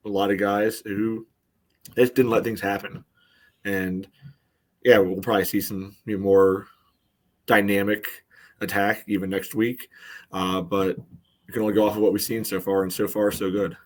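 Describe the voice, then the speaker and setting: Low, even-toned male sports commentator. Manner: peppers talk with "you know". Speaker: soccer podcast co-host. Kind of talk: casual match analysis.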